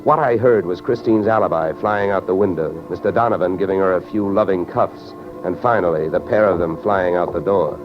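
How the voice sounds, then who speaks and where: A man's voice: low-pitched, brisk, few pauses, natural voice. An American man playing a wry, sardonic hard-boiled insurance investigator in old-time radio detective style. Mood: wry narration. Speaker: lead actor and narrator. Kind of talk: 1940s-50s radio detective acting. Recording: dull old radio recording with music.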